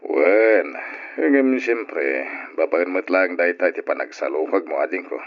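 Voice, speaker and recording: A caring older brother speaks in a lowish voice. He is persuasive and fatherly, in old radio melodrama style, and the recording is dull and lo-fi. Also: expressive, unhurried. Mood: fond, advising. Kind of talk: old radio drama acting.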